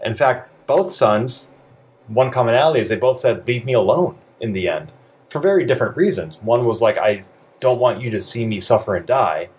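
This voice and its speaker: A man co-hosting a podcast, chatting casually in a mid-range voice. He has a slightly husky tone and a wry, dry sense of humor. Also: medium pitch, animated, normal speed. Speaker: podcast co-host. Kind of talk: casual chat.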